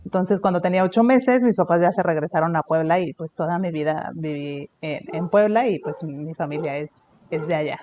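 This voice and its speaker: A woman's voice: lowish voice, a little fast, few pauses, highs cut off, a crowd in the background. A woman religious sister with a Mexican accent, chatting casually as an interview guest, with lots of fillers and easy laughter. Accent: Mexican Spanish.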